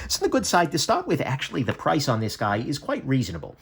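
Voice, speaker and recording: A man talks through a casual product review as the channel's host. His pitch swings a lot from line to line, and he keeps correcting himself with ums and stammered restarts. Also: quick pace, slightly rough. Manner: lively, swinging pitch between upbeat and low asides.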